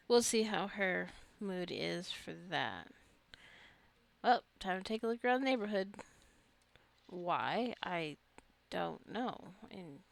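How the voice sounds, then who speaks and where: A woman's voice: mid-range voice, gravelly, dry recording. A woman giving casual gameplay commentary, rambling and thinking aloud as she plays. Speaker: gamer narrating her own gameplay.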